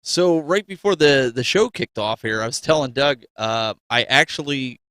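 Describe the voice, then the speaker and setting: A man's voice: mid-range voice, lively intonation, normal speed, a bit bright. A man co-hosting a gaming show, chatting casually to his audience and fellow hosts. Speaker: co-host of a gaming news show. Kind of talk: casual chat.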